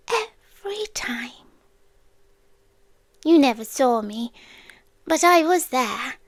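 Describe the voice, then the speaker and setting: A British woman playing an ancient, possessive female creature in an intimate ASMR roleplay, speaking softly and teasingly with deliberate pauses and drops into whispers. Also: high voice, dry recording, full character voice. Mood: protective, hushed. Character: ancient female stalker creature, possessive, watchful, tenderly menacing. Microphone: close microphone, dry.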